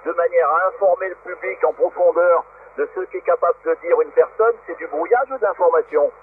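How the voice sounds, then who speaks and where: High-pitched man over tinny shortwave radio. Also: a little fast. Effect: shortwave radio transmission. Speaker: amateur radio operator. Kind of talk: informal argumentative radio exchange. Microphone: radio transceiver microphone. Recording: narrow, tinny, noisy shortwave radio link.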